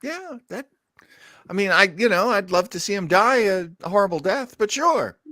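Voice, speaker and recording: Animated man, bright dry sound. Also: sits high, normal speed, dry recording. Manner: animated, jokey. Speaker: podcast host. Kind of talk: casual commentary on old radio shows.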